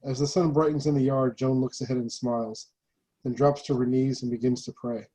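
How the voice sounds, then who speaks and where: A man reading stage directions as scripted narration in a mellow voice. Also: medium pitch, level tone, brisk, rough recording.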